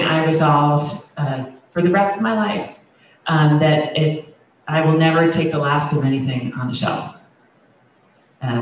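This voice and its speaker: A woman's voice: a bass voice, darkish tone, far from the mic, slight background noise. A middle-aged woman pastor preaching a conversational sermon into a handheld microphone, often restarting her sentences. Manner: gestures broadly while speaking. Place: large wood-paneled church sanctuary. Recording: echoey church sanctuary, voice from afar.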